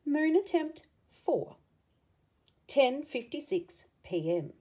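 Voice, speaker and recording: A woman reading a picture book aloud to children, with expressive, dramatic emphasis and asides to the listener. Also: sits high, slow pace.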